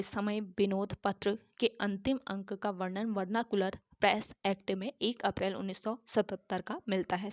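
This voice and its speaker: A woman tutor with a sore throat teaching an exam-prep lesson, repeating each key answer slowly so students can note it down. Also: medium pitch, no room sound, studio quality.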